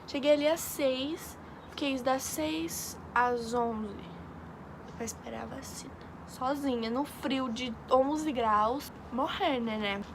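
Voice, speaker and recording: A woman's voice: high-pitched, takes it slowly. A young woman from Brazil, an exchange student, telling a rambling story in casual chat with lots of fillers. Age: young adult. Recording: noisy background.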